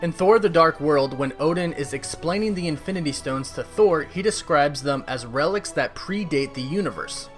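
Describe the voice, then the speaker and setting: Higher-voiced man explaining a fan theory. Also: expressive, normal speed, few pauses. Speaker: video host presenting a fan theory. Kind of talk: scripted explainer narration. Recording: music behind the voice. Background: background music.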